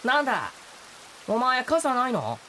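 Man as a high-voiced, blunt young boy. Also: wildly swooping pitch, unhurried, pushed and bright, a little hiss or hum, heavily acted, rough recording. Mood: friendly, insistent. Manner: casual, blunt, insistent. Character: friendly, insistent young boy in a raincoat.

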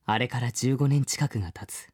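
An actor playing an earnest, wistful man who narrates his boyhood memories in a measured, reflective way, as in a radio drama. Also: medium pitch, a little mellow, husky, no echo, clean studio sound, lightly acted. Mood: wistful, subdued. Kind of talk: scripted first-person radio drama narration.

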